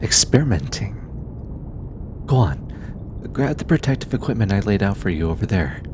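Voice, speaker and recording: A man voicing a gentle, teasing alien scientist in an intimate audio roleplay, eager and given to chuckling. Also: lowish voice, big pitch swings, moderate pace, background noise. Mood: reassuring, guiding. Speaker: voice actor.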